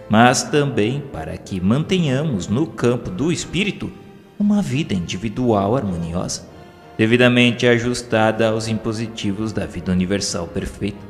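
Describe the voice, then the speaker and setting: A Brazilian man reading solemn scripted religious narration in a formal, measured, oratorical way. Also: lowish voice. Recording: long hall reverb, music underneath. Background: background music.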